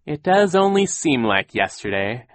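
A man's voice: fairly high pitch, wildly swooping pitch, moderate pace, bright, forceful tone, dry recording, voice pushed up, full character voice. A man voicing a scheming villainous sea captain who gushes with false sentiment, in an audiobook story. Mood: mock mournful. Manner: theatrical, gushing insincerity.

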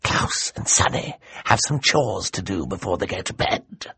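A man's voice: sits low, lively intonation, measured pace, creaky, bright, forceful tone, slightly rough, no room sound, exaggerated voice. A man voicing a scheming, theatrical male villain who gloats and mocks, in an audiobook. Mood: mocking. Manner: gloating, grand and mocking.

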